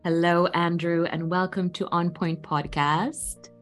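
A woman's voice: fairly low pitch, level tone, slow, soft background music. A woman hosting a podcast, chatting in a gushing, easygoing way and laughing often. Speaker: podcast host. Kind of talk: casual podcast chat.

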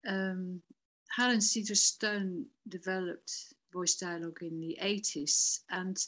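A woman guest on a remote call, calmly explaining a psychological method in a measured way. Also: on the low side. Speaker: guest, voice dialogue practitioner. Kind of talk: explaining in an interview.

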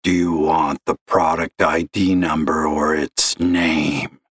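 A man voicing a miserable, gravel-voiced caller who talks slowly and gloomily. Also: a bass voice, little pitch movement, fairly bright tone, no room sound, studio quality, voice pushed down, exaggerated voice. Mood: gloomy, bitter. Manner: slow, gloomy and accusing. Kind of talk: audio story reading.

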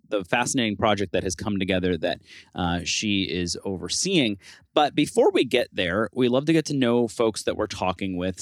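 A man's voice: mid-range voice, no echo, studio quality. A man hosting a preservation interview podcast, chatting loosely with fillers and restarts. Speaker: podcast host. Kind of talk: casual interview chat.

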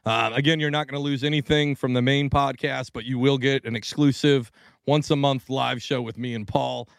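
A man's voice: medium pitch, steady tone, normal speed, gravelly, clean tone, dry recording, clean studio sound. A man hosting a casual podcast, talking loosely with lots of swearing and laughter. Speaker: podcast host. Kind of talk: casual chat.

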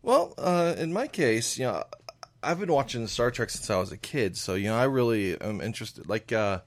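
A man's voice: mid-range voice, expressive, moderate pace. A man co-hosting a live radio show, chatty with wry asides.

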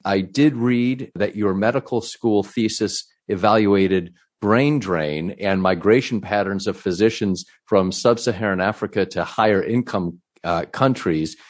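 A man's voice: lowish voice, measured pace, hardly any pauses. A man hosting a conversational interview, effusive and wordy as he works his way around to each question. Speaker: interviewer, host.

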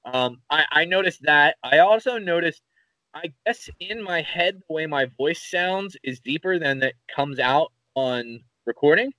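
A man with a Philadelphia accent, co-hosting a podcast in casual chat, telling rambling, animated stories and swearing casually. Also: on the high side, unhurried, no echo, low-quality recording. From Philadelphia area, USA. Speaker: podcast co-host.

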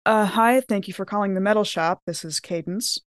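A woman's voice: medium pitch, a little fast, close to an everyday voice. A woman playing a polite, slightly flustered character in improvised tabletop role-play, casual and tentative in delivery.

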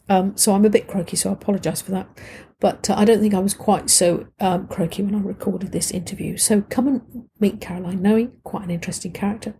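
A middle-aged woman with a husky, slightly croaky voice, hosting a podcast in a chatty, informal, rambling way. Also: mid-range voice, warm tone, slight background noise. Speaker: podcast host. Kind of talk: casual conversational podcast hosting.

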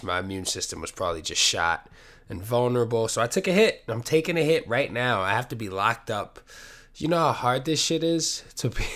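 Sniffly low-voiced man, rambling podcast host. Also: fairly bright tone. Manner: rambling, profane, self-deprecating. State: sick with a cold, sniffly. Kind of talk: casual solo podcast monologue. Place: home apartment.

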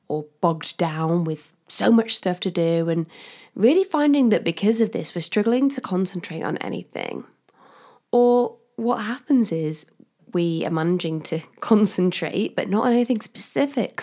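A woman hosting her own podcast as a career coach, talking in a chatty, upbeat way straight to the listener and laughing often, her voice still slightly croaky from a cold. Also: lowish voice, darkish tone. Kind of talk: casual solo podcast monologue.

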